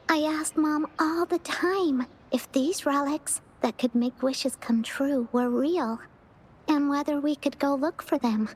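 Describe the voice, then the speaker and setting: A woman voicing a hopeful but sad little girl in a video game, speaking in a hesitant, wistful way. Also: high voice.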